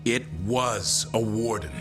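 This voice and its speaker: An actor playing a blunt, impatient but earnest warrior in a video game, talking in terse, plain phrases. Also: male voice, on the low side, takes it slowly, over music. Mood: pondering.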